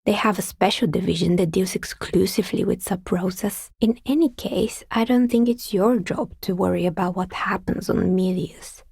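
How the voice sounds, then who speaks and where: An actress playing a wry, world-weary artisan dicemaker in a video game, speaking in a dry, understated, matter-of-fact way with a husky, breathy voice. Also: female voice, mid-range voice, normal speed, hardly any pauses, darkish tone, no room sound. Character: wry, world-weary woman artisan who makes novelty dice. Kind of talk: video game character dialogue.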